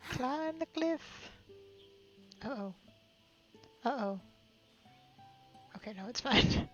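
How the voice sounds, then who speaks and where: A woman's voice: sits high, animated, slow, fairly bright tone, muffled recording, soft background music. A woman giving casual live commentary while playing a game, chattily thinking aloud to herself. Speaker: game streamer.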